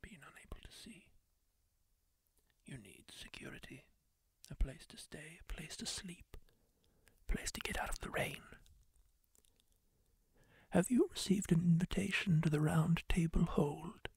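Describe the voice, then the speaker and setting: A man plays a kindly masked wanderer, tending a wounded stranger in a soft ASMR whisper. The voice is gravelly and breathy, drawn out slowly, yet it lilts up and down with gentle, musing asides. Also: fairly high pitch, no room sound, exaggerated voice. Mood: soothing. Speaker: ASMR roleplay creator.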